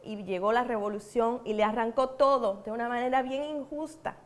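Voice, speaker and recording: A woman's voice: fairly high pitch, steady tone, normal speed. A woman with a Cuban accent giving a church teaching, telling personal testimonies earnestly and repeating words for emphasis. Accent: Cuban Spanish. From Cuba. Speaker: preacher.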